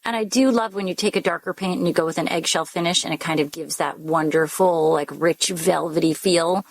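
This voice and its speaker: A woman's voice: lowish voice, fairly even intonation, fairly quick, low-quality recording. A woman co-hosting a home improvement radio show, chatty and upbeat as she interviews guests and reads promos.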